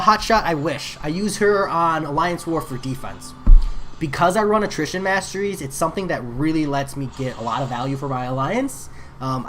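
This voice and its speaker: A man's voice: a little high, sharp tone. A man streaming a mobile game, chatting casually with viewers while he plays. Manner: chatty, answers chat viewers. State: voice getting worn. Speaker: gaming streamer. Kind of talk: casual live commentary while playing.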